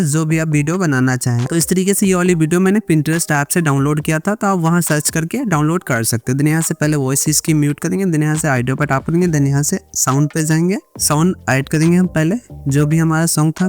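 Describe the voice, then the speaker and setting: A man's voice: fairly high pitch, darkish tone. An Indian man narrating a step-by-step editing tutorial, mixing English words into repetitive instructions. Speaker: video editing tutorial creator.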